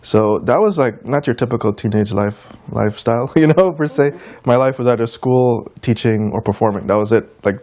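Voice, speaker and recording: A man's voice: medium pitch, moderate pace, few pauses, darkish tone. Man chatting informally in an interview. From United States, of Trinidadian and Guyanese descent. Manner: informal, anecdotal, quoting people in conversation. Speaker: interview guest, tabla player and teacher. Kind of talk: conversational interview answers.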